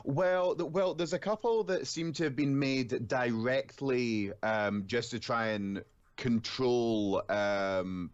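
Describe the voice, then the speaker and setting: A man speaking as a guest on a gaming podcast over a remote call, loud and stammering, often repeating his words as he thinks aloud. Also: medium pitch, highs cut off. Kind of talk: casual gaming chat.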